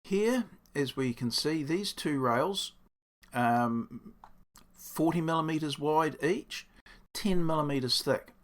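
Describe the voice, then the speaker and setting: A man with a croaky voice explaining his workshop build in a casual, rambling way, correcting himself as he goes. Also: fairly high pitch, takes it slowly. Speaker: woodworking channel host. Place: home workshop.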